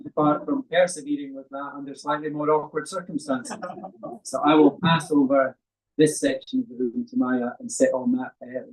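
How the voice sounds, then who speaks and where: A man's voice: fairly high pitch, darkish tone, rough recording. A man hosting a live poetry event at a bookshop, introducing the guest poet with playful, flowery phrasing and frequent laughs. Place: bookshop event in front of an audience.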